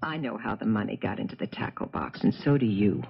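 A scheming wife in a seventies American radio play. She has a husky voice and a dramatic, probing way with her questions. The sound is dull, like an old muffled broadcast. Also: rumbling low, lively intonation, fairly quick, full character voice. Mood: cool, conspiring. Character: scheming, cool, well-spoken married woman.

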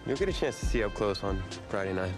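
A man playing a stressed, proud high school football star in a video game cutscene, casual and sardonic with an American accent. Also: lively intonation, fast, lightly acted. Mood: wry. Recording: background music under the voice.

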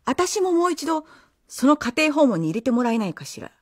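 An actress playing a suspicious, pushy, envious homeroom teacher in a Japanese radio drama. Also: female voice, sits high, very animated, a little fast. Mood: insistent. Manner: sly, insistent, needling.

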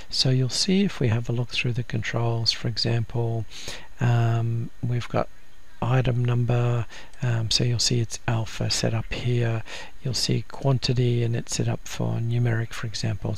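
A man with noticeable fry in his voice narrates a software screencast. His pitch sits in an average male range. He explains each step in an informal, instructional way, as a tutorial presenter would. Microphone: screen-recording voice-over.

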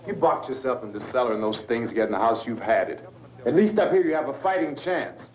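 A man's voice: mid-range voice, animated, fairly quick, natural voice. Male actor as resolute American survivor, blunt, challenging, practical, old horror film, distant and dull recording. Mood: grim. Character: resolute, defiant, practical survivor standing his ground against the undead. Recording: echoey, muffled old film soundtrack, distant.

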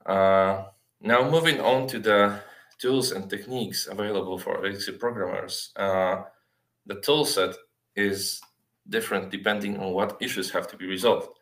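A man with a fairly low voice gives a technical talk in a halting way, pausing often on filler sounds. The sound is roomy and echoey, as from a video call in a small room. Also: a bit bright. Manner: halting, hesitant delivery with frequent filled pauses. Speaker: software developer giving a talk. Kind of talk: technical conference presentation over slides.

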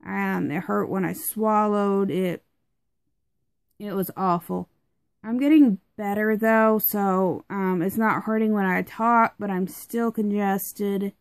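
A woman's voice: mid-range voice, steady tone, takes it slowly, gravelly, no echo. Congested young woman rambling, dry sound. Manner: chatty, rambling, many fillers. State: congested, stuffed-up nose. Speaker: product reviewer. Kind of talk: casual rambling product review.

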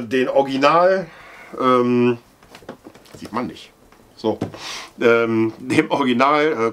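A middle-aged man with a husky voice, giving a casual, halting product demonstration as he handles the parts. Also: medium pitch. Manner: halting, thinking aloud while handling parts. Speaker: aquarium product reviewer. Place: indoor room with large aquarium.

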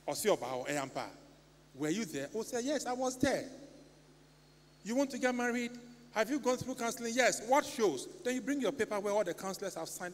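A middle-aged man from Ghana with a Ghanaian English accent, preaching a sermon from the pulpit in an earnest, pleading manner. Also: high-pitched. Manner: earnest, pleading, animated hand gestures. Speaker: lay preacher. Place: church sanctuary. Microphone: stand mic at pulpit. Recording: long church reverb, faint hum.

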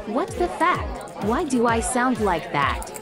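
A woman puts on an outraged country girl gamer. The country accent swings animatedly up and down in pitch, with music and crowd noise clearly behind. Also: big pitch swings, takes it slowly. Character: outraged female player stuck with a country girl voice. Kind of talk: scripted gamer dialogue.

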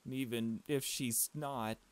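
A man's voice: medium pitch, steady tone, slow, lightly acted. A man voicing a grieving, polite mother in a visual novel, speaking in a formal, mournful manner.

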